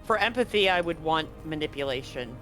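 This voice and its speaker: A man narrating a live tabletop game as game master, conversational and often halting to correct himself. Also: fairly high pitch, lively intonation, bright, forceful tone. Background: music.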